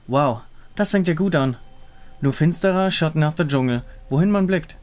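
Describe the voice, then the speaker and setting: A man's voice: on the high side, normal speed, a little mellow, natural voice. A man voicing a chatty, naive young woman in a game story, exclaiming and searching for words. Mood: dismayed. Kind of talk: fan voice-over of a game story.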